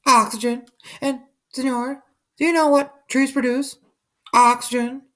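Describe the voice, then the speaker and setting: A man voicing small tree porcupines in a high, squeaky voice. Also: flat delivery, fairly bright tone. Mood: earnest. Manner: high and squeaky, slow questions.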